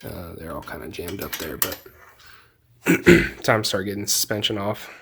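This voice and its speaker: A man's voice: deep voice, normal speed, gravelly, background noise. Congested man in his garage. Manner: relaxed, rambling, lots of ums, offering tips. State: congested from a cold. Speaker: car hobbyist building his project car. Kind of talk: casual garage build vlog. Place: home garage.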